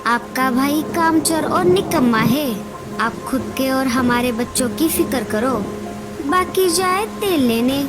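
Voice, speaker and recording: A woman voicing a sharp-tongued, nagging female bird, a wife and mother, in a cartoon. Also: high voice, a little slow, over loud music. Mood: scornful. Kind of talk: Hindi cartoon dialogue acting.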